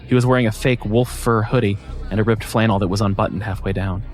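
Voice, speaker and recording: A man narrating a first-person scripted story in a measured, wry way. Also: lowish voice, steady tone, faint music behind.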